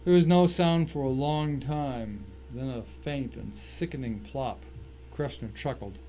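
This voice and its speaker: A man's voice: mid-range voice, a little slow, dark tone, natural voice. Man acting a tense, determined narrator, noisy background. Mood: tense, suspenseful. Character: tense, determined man narrating his own deadly ordeal. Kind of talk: dramatic first-person story narration. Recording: clear background noise.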